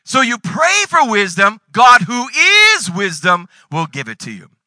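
A man in his forties preaching a sermon, reading scripture and breaking into sudden loud bursts. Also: very high voice, wildly swooping pitch, a little slow, dry recording, clean studio sound. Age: 40s. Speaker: pastor.

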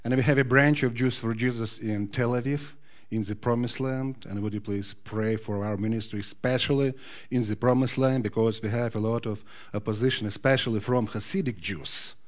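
A man's voice: mid-range voice, fairly even intonation, moderate pace. Man with an Odessa accent. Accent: Ukrainian. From Odessa, Ukraine. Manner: friendly, asks the audience questions, sings a short Hebrew song. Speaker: guest speaker. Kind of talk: sermon talk to a congregation.

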